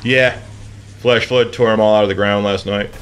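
An American actor playing a folksy, awkward small-town driver in an audio drama, halting and colloquial. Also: male voice, on the low side, steady tone, a bit bright, slight background noise, natural voice.

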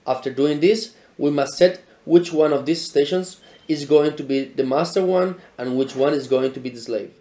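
Man calmly explaining gear. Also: a little high, moderate pace. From Spain. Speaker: product presenter. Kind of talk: scripted product explainer. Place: indoor showroom with equipment.

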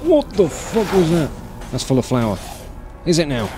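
A man's voice: on the high side, wildly swooping pitch, measured pace. Sweary male gamer over game music. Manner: sweary, exasperated reactions. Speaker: video game streamer. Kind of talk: casual gameplay commentary. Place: indoor room, seated at gaming setup. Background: game music and sound effects.

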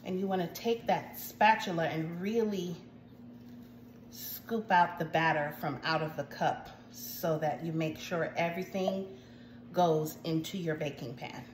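A woman's voice: on the low side, unhurried. Woman in her 40s, american accent, talking through a recipe in her kitchen, roomy echo, slight hiss. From United States, Jamaican household. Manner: chatty and warm, frequent asides to viewers. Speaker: home cooking show host. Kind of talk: casual baking tutorial. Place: home kitchen, indoors. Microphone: phone camera at arm's length. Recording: echoey kitchen with faint noise.

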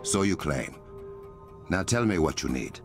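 An actor playing a stern, regal warrior king in a video game, commanding, formal and measured. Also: sits low, big pitch swings, soft background music, heavily acted. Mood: resolute. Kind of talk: video game cutscene acting.

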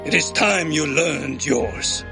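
A man playing a proud, stern, solemn old father in an animated storybook. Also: fairly high pitch, takes it slowly, creaky, loud music behind the voice. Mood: stern, resolute. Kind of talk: animated film storybook acting.

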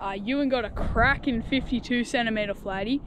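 A man's voice: very high-pitched, fairly quick. Young Australian guy on a windy beach. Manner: excited, shouts when fish are hooked. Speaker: fishing channel host. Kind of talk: casual vlog chat. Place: outdoors on a sandy surf beach. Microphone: action camera. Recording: windy outdoor action-cam audio.

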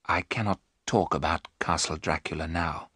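Man acting a hushed, haunted husband. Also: extremely low pitch, fairly even intonation, moderate pace, slightly rough, dry recording, studio quality, voice pushed down, heavily acted. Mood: haunted, weak. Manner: hushed and faltering. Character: frail, haunted husband, traumatised and fearful.

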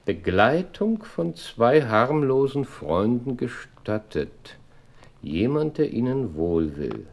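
An actor playing a cool, analytical gentleman detective in a radio drama, dry, self-assured and clipped. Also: medium pitch, very animated, takes it slowly, vocal fry, full character voice. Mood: terse, focused.

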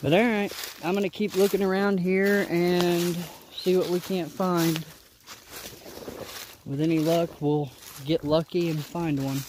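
Outdoor vlogger, male, slightly high voice, folksy and rambling, noisy handheld field recording. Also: steady tone, measured pace. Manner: rambling, folksy, thinking aloud. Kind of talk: casual vlog commentary while walking. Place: outdoors in leafy winter woods. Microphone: handheld camera or phone, behind the lens.

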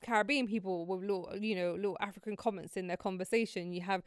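A young woman hosting a podcast, chatting casually and telling stories in a lively, slangy way from a studio. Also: mid-range voice, clean tone, dry recording. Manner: fast, animated storytelling with slang. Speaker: podcast host. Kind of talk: casual chat. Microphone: studio mic on a boom arm.

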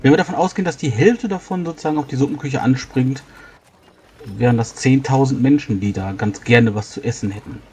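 A man's voice: medium pitch, brisk, darkish tone, close to an everyday voice. A man playing an obliging, practical food supplier in a tabletop roleplay, reckoning aloud and explaining as he goes. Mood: calculating. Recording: faint background noise.

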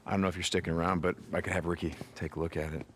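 An actor playing a gruff but protective biker drifter in a game, American, speaking in a halting, informal way. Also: male voice, low voice, level tone, a little hiss or hum, natural voice. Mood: awkward, friendly. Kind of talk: video game cutscene acting.

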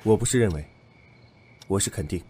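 A man's voice: fairly low pitch, expressive, brisk, dark tone, breathy edge. An actor playing a shrewd, probing young man in a Chinese audio drama. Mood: suspicious.

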